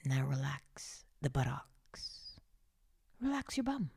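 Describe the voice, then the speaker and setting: Female meditation guide, low-pitched and very breathy, often whispering, soothing and unhurried, with close, intimate delivery. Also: very animated, no room sound. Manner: hushed, soothing, gently coaxing with pauses. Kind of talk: slow guided meditation narration, partly whispered.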